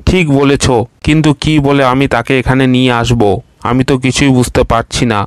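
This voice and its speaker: A man voicing a lazy, plump, soft-hearted male crocodile in a cartoon, with an easygoing, meek way of talking. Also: medium pitch, steady tone, a little fast, smooth, no echo, natural voice. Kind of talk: cartoon voice acting.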